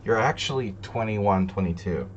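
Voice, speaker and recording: A man streaming chess, thinking aloud in casual, deliberating commentary, muttering to himself between pauses. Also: lowish voice. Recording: dull lo-fi sound, loud hum.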